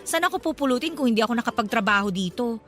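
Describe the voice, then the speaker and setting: A woman's voice: fairly high pitch, animated, very fast, a bit bright. A woman playing an earnest, loyal young flower vendor in a radio drama. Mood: curious, chatty.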